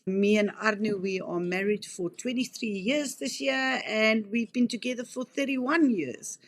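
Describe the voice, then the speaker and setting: A woman's voice: on the high side, a little slow. A South African woman in her fifties explains things in an earnest, talkative way. She often backs up and restarts her sentences. She sounds like a wildlife rehabilitator answering interview questions. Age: 50s.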